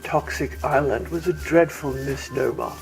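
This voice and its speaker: A man's voice: mid-range voice, a little slow, vocal fry. A man reading their own science fiction story aloud as scripted audiobook narration. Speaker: author reading own novel. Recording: music bed behind.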